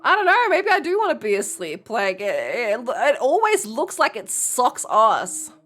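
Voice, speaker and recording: A woman's voice: on the high side, wildly swooping pitch, measured pace, a bit bright. A young woman streaming a game, chatting casually, blunt and swearing, laughing often. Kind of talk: casual live-stream commentary.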